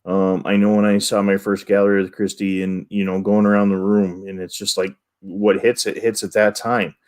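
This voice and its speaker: Low-voiced man rambling haltingly. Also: level tone. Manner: halting and rambling, with stammered restarts. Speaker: co-host reading chat questions. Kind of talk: casual live-show talk.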